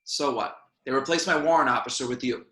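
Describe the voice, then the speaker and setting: A man's voice: medium pitch, brisk, bright, forceful tone, echoey room, a little hiss or hum, close to an everyday voice. A man reading the part of a weary, brusque spaceship captain in an amateur script table read, terse and offhand. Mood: impatient.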